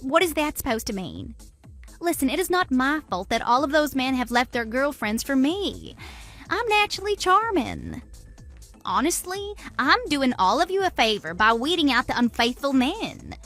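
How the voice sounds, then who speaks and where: A woman's voice: sits high, wildly swooping pitch, normal speed, fairly bright tone, soft background music, heavily acted. A woman playing a smug, gloating mistress in a radio drama, taunting and boastful in an exaggerated way. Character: smug, gloating mistress who steals other women's men. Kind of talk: scripted radio drama dialogue.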